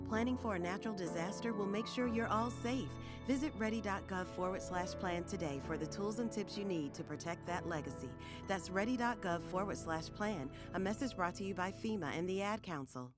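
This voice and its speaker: A woman's voice: medium pitch, a little fast, hardly any pauses. Female PSA narrator, mid-range, scripted radio read over a prominent music bed. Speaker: public service ad announcer. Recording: loud music bed behind the voice.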